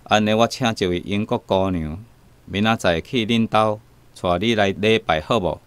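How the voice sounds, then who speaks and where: An actor playing a kindly, helpful church teacher in a radio drama, with a Taiwanese accent. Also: male voice, lowish voice, moderate pace, smooth, no room sound, close to an everyday voice. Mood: reassuring.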